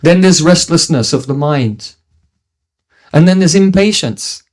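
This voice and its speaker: A middle-aged man from New Zealand teaches a devotional class in a fairly high voice. He speaks earnestly, restarts his sentences often and breaks into chanted Sanskrit verses. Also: animated, measured pace, darkish tone. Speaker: spiritual teacher. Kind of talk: devotional lecture.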